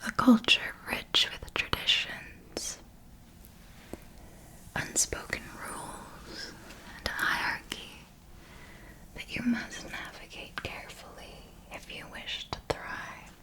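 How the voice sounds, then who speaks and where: A woman playing an elegant, seductive vampire mentor in a whispered ASMR roleplay, speaking in a deliberate, intimate and formal way. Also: close to an everyday voice. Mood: instructive, composed. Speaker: ASMR performer.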